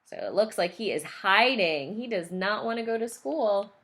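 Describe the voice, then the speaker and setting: A woman's voice: mid-range voice, lively intonation, moderate pace, a bit bright, slight background noise. A woman teacher reading a picture book aloud to young children, explaining and asking questions along the way.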